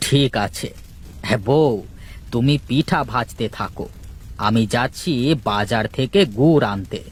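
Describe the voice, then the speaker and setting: A man's voice: medium pitch, a little slow, a little hiss or hum, natural voice. A man voicing an easygoing village uncle and husband in a cartoon. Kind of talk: cartoon character dialogue. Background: music.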